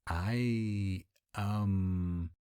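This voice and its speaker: A man performs a theatrical supernatural gentleman in a roleplay audio drama. The voice is very deep and soft-edged, with a mellow, husky grain. Delivery is unhurried and swings widely in pitch. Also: fairly even intonation, dry recording, studio quality. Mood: flustered, defensive.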